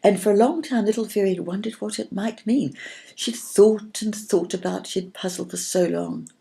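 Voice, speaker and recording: A woman storyteller reading a children's story expressively, in a gentle manner with dramatic pauses. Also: medium pitch, gravelly.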